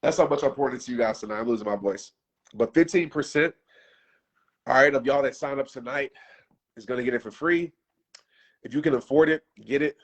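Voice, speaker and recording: A man in his thirties speaks off the cuff to a livestream audience, pushing them hard in casual slang. His pitch sits in an ordinary middle range, and his voice sounds worn from talking so long. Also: brisk, pauses often. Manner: passionate, preachy, casual slang. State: losing his voice. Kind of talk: unscripted livestream pep talk.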